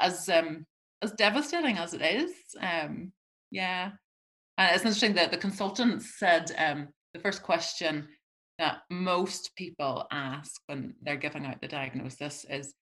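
A middle-aged woman talks through her experiences as an interview guest. Her voice sits low for a woman yet sounds bright and forward. She speaks reflectively, often pausing and restarting her sentences. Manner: reflective, halting with many restarts. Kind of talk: conversational interview.

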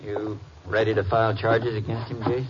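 An actor playing a blunt American small-town sheriff, gruff, folksy and challenging, in 1950s radio drama style. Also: male voice, fairly low pitch, flat delivery, normal speed, heavy background noise, natural voice. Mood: skeptical. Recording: muffled vintage radio recording.

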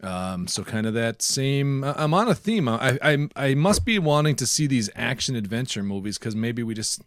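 A man's voice: mid-range voice, lively intonation, moderate pace, a bit bright, no room sound, studio quality. A jovial man hosting a casual podcast chat about video games and steering the discussion. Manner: jovial, laughs readily. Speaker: podcast host leading the discussion.

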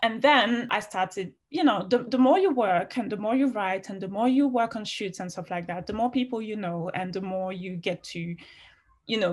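A woman with a slight French accent mixed with British, answering interview questions in a chatty, frank way with many fillers. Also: medium pitch. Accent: French, with some British. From France. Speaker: interview guest, fashion writer.

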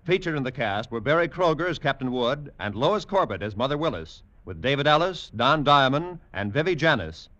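Bright, animated 1940s male radio announcer. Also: mid-range voice, fairly quick, few pauses. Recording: dull 1940s radio broadcast recording.

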